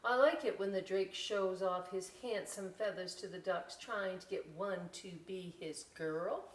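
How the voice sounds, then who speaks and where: A middle-aged woman reading a children's picture book aloud in an expressive, folksy way, pausing for chatty, simple explanations. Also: medium pitch. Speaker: library storytime host reading aloud. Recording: roomy echo, distant microphone.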